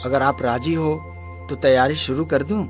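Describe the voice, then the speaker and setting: A man's voice: mid-range voice, fairly even intonation, moderate pace, music in the background, lightly acted. A man playing an earnest, resolute young engineer and devoted suitor in a moral story drama. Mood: respectful, pleading. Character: earnest, lovestruck young male engineer, firm-minded son. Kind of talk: Hindi moral story voice acting.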